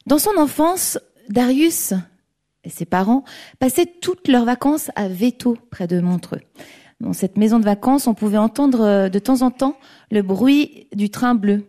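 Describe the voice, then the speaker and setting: A woman hosting a studio talk and improv show, introducing performers and interviewing a guest with a lively presenter manner. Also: fairly high pitch, measured pace. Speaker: host.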